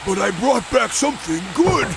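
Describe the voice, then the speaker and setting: An actor playing a big, slow, servile albatross henchman in a video game. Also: very high voice, big pitch swings, gravelly, background noise, full character voice. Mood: apologetic, eager. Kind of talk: animated video game cutscene acting.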